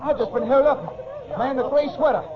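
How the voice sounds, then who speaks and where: An actor playing a frightened, excitable storekeeper with urgent, alarmed exclamations in a 1940s radio crime drama. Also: male voice, very high-pitched, moderate pace. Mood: panicked. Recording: noisy, muffled vintage radio recording.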